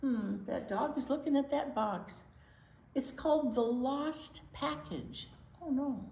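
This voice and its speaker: A woman reading a picture book aloud to young children as a storytime teacher, expressive and emphatic, explaining things simply. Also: high-pitched, takes it slowly, creaky. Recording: roomy echo with faint noise.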